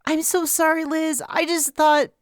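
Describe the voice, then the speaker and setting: A woman's voice: sits high, flat delivery, unhurried, dry recording, studio quality, pitched above the performer's own voice. A woman reading aloud, voicing a young female crew member who is sobbing and apologizing. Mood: tearful pleading.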